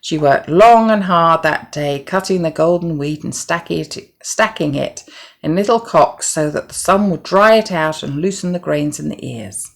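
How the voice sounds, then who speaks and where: A middle-aged woman reads a children's tale aloud. Her voice is low, with plenty of fry in it. She keeps a measured, gentle storytelling manner. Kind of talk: scripted children's story narration.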